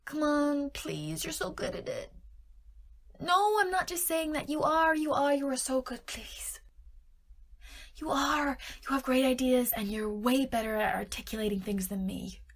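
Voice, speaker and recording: A woman's voice: mid-range voice, fairly even intonation, normal speed, few pauses, a little mellow, natural voice. A woman playing a playful, self-deprecating scriptwriter girlfriend in an intimate audio roleplay, with whiny, theatrical pleading that often drops into murmurs. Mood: pleading, wheedling.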